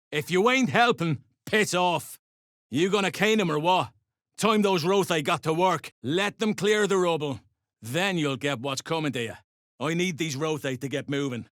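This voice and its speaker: An actor playing a gruff, curt duergar slave-driver in a video game, full of coarse, threatening mercenary bluster. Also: male voice, fairly high pitch, fairly bright tone, no echo, clean studio sound. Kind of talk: video game character dialogue.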